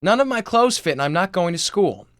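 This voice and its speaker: Guy doing a whiny defiant little girl for a script read, high n shrieky. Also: big pitch swings, brisk, a bit bright, no room sound, clean studio sound. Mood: shrieking, indignant. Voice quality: shrill. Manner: whiny, complaining. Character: shrieking seven-year-old girl, bratty and defiant.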